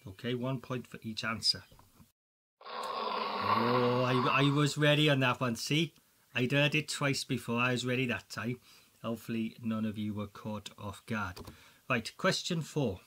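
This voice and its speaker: A man with a Welsh accent presents a school quiz for children in a chatty, excitable way, full of jokey asides. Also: medium pitch, unhurried, slight background noise. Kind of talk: playful quiz commentary for children.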